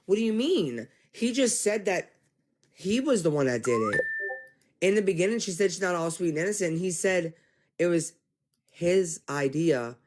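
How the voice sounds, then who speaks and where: A man's voice: high-pitched, normal speed. Exasperated man ranting to viewers. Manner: animated, exasperated, addresses viewers as y'all. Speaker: commentary streamer. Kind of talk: casual livestream commentary.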